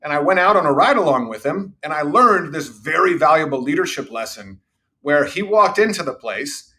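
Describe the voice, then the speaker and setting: A man's voice: on the high side, animated, moderate pace. A middle-aged man, a sales executive, telling confident stories from his career in a podcast interview. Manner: confident, anecdotal, says he has a loud voice. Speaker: revenue executive guest.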